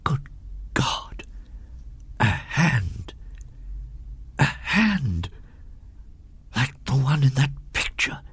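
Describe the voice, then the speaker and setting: A man's voice: mid-range voice, big pitch swings, very slow, long pauses, fairly bright tone, husky, no room sound, heavily acted. A man acting a whispering, horror-struck scholar. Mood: mounting horror. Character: terrified scholar recoiling from a horror.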